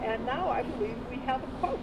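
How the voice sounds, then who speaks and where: A woman's voice: high voice, expressive, fairly quick. An older woman leading a walking tour outdoors on a city street, speaking into a headset mic to a group. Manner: informative, addressing a group. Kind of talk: guided tour talk. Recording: loud city street noise.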